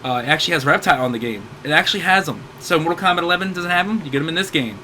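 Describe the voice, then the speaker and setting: Hoarse man in his 30s, gaming host, rambling upbeat sale rundown, a bit high and bright. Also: very fast, slight background noise. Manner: rambling, self-correcting, upbeat. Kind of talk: casual game sale rundown.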